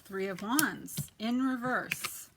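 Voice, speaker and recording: A soft-spoken woman with a quiet voice, chatting casually as she gives a tarot reading, often dropping to a near whisper. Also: fairly high pitch, slow pace, creaky, background noise. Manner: chatty, many fillers, drifts to near-whisper. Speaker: tarot reader. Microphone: quiet home recording.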